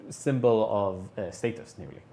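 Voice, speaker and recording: A man with a fairly low voice runs a tabletop fantasy game as game master. He improvises his descriptions in a hesitant, halting way, padded with filler sounds. There is faint noise behind him. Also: lively intonation, vocal fry, dark tone.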